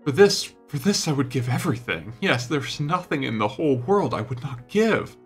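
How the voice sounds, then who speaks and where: A man voicing a petulant, melodramatic young gentleman in an audiobook, vain and wounded, speaking in an impassioned, plaintive way. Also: medium pitch, sing-song, normal speed, breathy edge, faint music behind, voice pushed up, full character voice. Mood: yearning.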